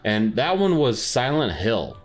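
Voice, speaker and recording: A man's voice: mid-range voice, sing-song, measured pace. A man hosting a podcast in an informal, conversational, self-deprecating way, chatting casually. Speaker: podcast host. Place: home office without soundproofing. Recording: echoey untreated home office, music underneath. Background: underlying background music.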